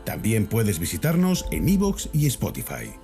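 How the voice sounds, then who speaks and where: A man's voice: lowish voice, wildly swooping pitch, unhurried, creaky, warm tone. A man from reading a scripted promotional outro as a channel announcer. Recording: music bed behind voice.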